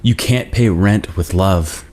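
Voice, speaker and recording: A man's voice: deep voice, takes it slowly, darkish tone. A man playing a weary, self-doubting young boyfriend, hesitant and grumbling, in a screenplay table read. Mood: defeated, irritable.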